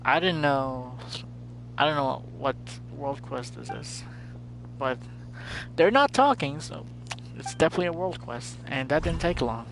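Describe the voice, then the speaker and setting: A man's voice: a little high, expressive, measured pace, vocal fry, long pauses, a bit bright, a little hiss or hum, poor audio. A man doing casual live gameplay commentary as a streamer, muttering to himself and repeating his phrases. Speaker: game streamer.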